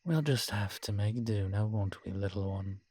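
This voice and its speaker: A man playing a world-weary, morose male vampire in a roleplay audio drama, speaking in a measured, formal, brooding manner with sighs and pauses. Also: lowish voice, darkish tone, natural voice. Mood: worried, grim. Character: weary old male vampire, morose realist, formerly cruel.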